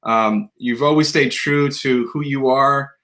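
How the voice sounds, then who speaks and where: A man's voice: medium pitch, fairly even intonation, measured pace, a bit bright. Rambling man hosting a podcast. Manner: rambling, many restarts and fillers. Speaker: podcast host. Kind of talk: conversational interview.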